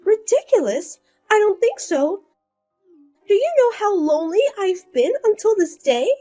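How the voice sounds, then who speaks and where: A boastful, scheming younger sister, as a woman puts her on speaks in a high, lilting voice that swoops up and down. She teases and gloats in a sing-song way. Soft music plays underneath. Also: big pitch swings, a little slow, full character voice. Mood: resentful.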